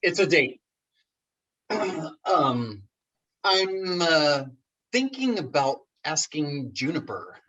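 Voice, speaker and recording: A man acts out a lovesick, awkward guy in a comedy reading. His voice is husky and unhurried, lifting and dropping expressively as he gets exasperated, with a dry, halting delivery. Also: on the high side, very animated, bright, forceful tone, exaggerated voice, rough recording. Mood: dry, hesitant. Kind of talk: comedy play table reading. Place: remote reading over a video call.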